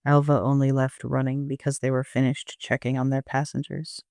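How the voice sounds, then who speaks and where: A man's voice: medium pitch, little pitch movement, normal speed, vocal fry, a little mellow, no echo, studio quality. A man narrating a first-person audio drama as an amateur reader, in an understated storytelling manner.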